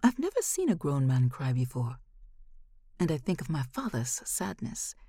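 Low, husky woman narrator, expressive. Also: no echo, clean studio sound. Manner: dramatic, measured delivery. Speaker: podcast host and audiobook narrator. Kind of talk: expressive scripted story narration.

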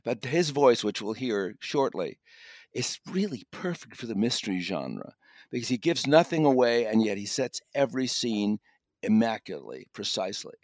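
A man's voice: medium pitch, sing-song, moderate pace, no room sound, clean studio sound. A man giving a conversational review as a guest critic on a podcast.

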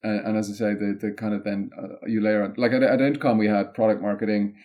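Low-pitched Irish man chatting. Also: flat delivery, mellow. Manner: discursive, halting explanations peppered with "like" and "you know". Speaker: interview guest, content marketing consultant. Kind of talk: casual interview conversation.

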